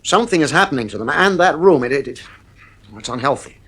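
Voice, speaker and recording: Exasperated, strict father, very varied pitch. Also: animated, fast, fairly bright tone, slightly rough. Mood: worried, weary. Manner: stern, irritable, emphatic. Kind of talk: radio play acting.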